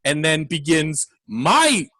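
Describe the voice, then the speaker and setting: A man's voice: on the high side, big pitch swings, takes it slowly, sharp tone, no echo, clean studio sound. A man co-hosting a film podcast, talking casually and rambling with many fillers. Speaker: podcast co-host. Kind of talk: casual film review chat.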